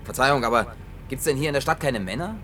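Brief: actor as mid-pitched, grumbling last man on earth, faint engine rumble behind. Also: fast, bright, forceful tone, natural voice. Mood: bewildered. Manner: gruff, muttering curses. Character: awkward, gruff wandering man, last survivor. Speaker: Jim, survivor on foot. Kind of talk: German film dub.

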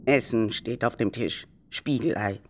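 A woman's voice: rumbling low, unhurried, heavy background noise. An actress playing a nosy, grumbling older mother in a German radio drama, with a curt, nagging manner. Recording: loud music under voice.

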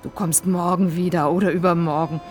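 An actor playing a brisk, kind-hearted, streetwise woman who runs a snack stand, chatty and plain-spoken, in radio drama style. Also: fairly low pitch, fairly even intonation, loud music behind the voice, close to an everyday voice. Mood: caring, chatty. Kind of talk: German radio crime drama acting.

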